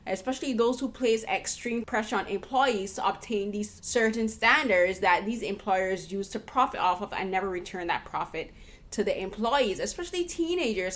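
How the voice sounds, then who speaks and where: A young woman hosts a film interview at a medium pitch. She talks earnestly and thoughtfully, often stopping to rephrase mid-sentence. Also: fairly bright tone. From Barbados. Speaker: podcast host and interviewer. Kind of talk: casual conversational film interview.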